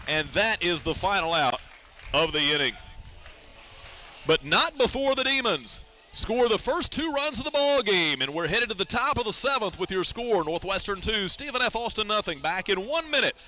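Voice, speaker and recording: Male radio baseball announcer, middle-aged, fairly high and very bright, punchy voice. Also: big pitch swings, moderate pace. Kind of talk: live radio sports commentary.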